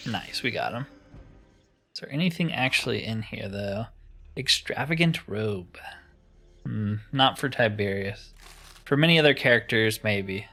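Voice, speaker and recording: A man's voice: on the low side, expressive, unhurried, creaky, long pauses, pushed and bright. A man giving casual let's-play commentary, musing aloud with wry asides as he plays. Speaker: gamer narrating his playthrough.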